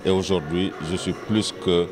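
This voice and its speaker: A man giving a formal congratulatory statement, deliberately and with drawn-out words. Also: low-pitched, steady tone. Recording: music playing behind the voice.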